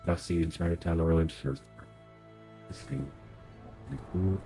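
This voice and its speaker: A young man with a cold narrates his live game session, talking aloud to himself as he hunts for clues. His voice sits low and dark and sounds stuffy through the nose. Faint game music hums in the background. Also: expressive, moderate pace, vocal fry. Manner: chatty, thinks aloud while playing. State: sick and nasal from a cold. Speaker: streamer. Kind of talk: live game playthrough commentary.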